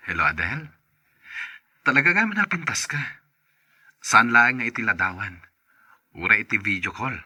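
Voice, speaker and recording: Guy playing a pushy flirty lover in a radio drama, very bright husky voice, lots of swoops. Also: lowish voice, very animated, moderate pace, pauses often, no room sound, clean studio sound, exaggerated voice. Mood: flirtatious. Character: flirtatious secret lover, eager and persuasive. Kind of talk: podcast radio drama acting.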